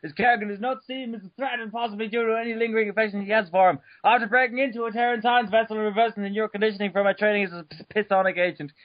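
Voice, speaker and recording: A man giving a mock-dramatic reading of an article, over the top, bursting into shouts and stumbling over words. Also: high-pitched, steady tone, very fast, few pauses, no echo, highs cut off. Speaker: reader.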